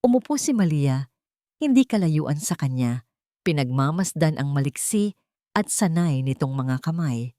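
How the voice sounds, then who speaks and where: A woman's voice: sits low, lively intonation, a little slow, mellow, no room sound, studio quality. A woman with a Filipino accent reading a scripted love story as a dramatic narrator.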